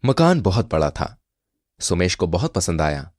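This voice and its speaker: Deep, lively male storyteller. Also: measured pace, dry recording, studio quality. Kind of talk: scripted Hindi moral story narration.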